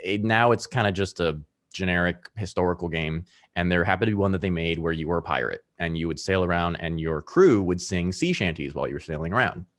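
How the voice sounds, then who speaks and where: A man with a low voice, hosting a casual chat and explaining at length with many fillers and laughs. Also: animated, brisk, hardly any pauses.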